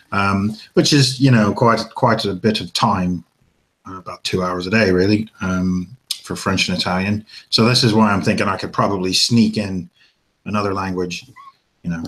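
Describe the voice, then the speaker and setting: A man co-hosting a casual chat show, rambling and hesitant, with many pauses and fillers, and a dry, self-mocking way of talking. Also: sits low, a bit bright. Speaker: podcast co-host.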